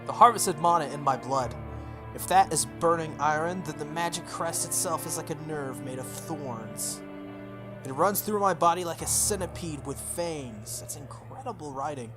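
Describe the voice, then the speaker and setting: A man's voice: fairly high pitch, normal speed. A man hosting a game playthrough, reading a visual novel aloud with dramatic delivery and casual asides. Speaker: video game playthrough host. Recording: background music behind the voice. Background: game music.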